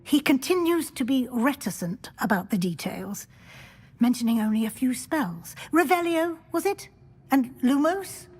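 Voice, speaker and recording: A woman playing a stern, shrewd older professor of magic with a British accent, formal and measured, in a fantasy video game. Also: high voice, animated, a little mellow, exaggerated voice. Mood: probing, wry. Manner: formal, authoritative, crisply measured. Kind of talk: video game dialogue acting.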